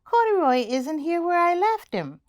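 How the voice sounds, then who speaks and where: A woman's voice: big pitch swings, normal speed. A woman voicing an eager, earnest little girl in a storybook, devoted to her toy bear. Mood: distressed. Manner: high-pitched, earnest exclamations.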